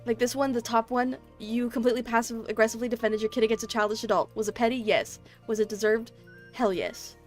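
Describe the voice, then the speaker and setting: A woman with a slightly raised pitch chats on a stream and reads comments aloud. She speaks in quick, low-key asides. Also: fairly even intonation, soft background music. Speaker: streamer co-host. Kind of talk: casual stream chat, reading comments.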